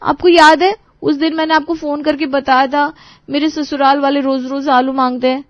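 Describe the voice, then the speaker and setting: A woman's voice: sits high, level tone, normal speed, no echo, lightly acted. A woman voicing a frantic, complaining young daughter-in-law, on a dry, muffled studio recording. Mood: exasperated.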